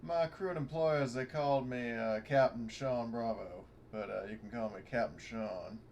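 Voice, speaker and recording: A man's voice: medium pitch, normal speed, darkish tone, highs cut off, close to an everyday voice. Man playing a wry self-styled captain, noisy mic. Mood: wry, breezy. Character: breezy, wisecracking male officer, self-styled captain. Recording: noisy voice chat.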